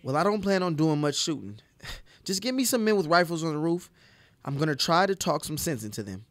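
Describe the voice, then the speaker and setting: An actor playing a nervous, earnest and wordy young deputy in a Western audio play. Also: male voice, fairly high pitch, animated, fairly quick, no room sound, lightly acted. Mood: anxious. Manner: hesitant, stammering.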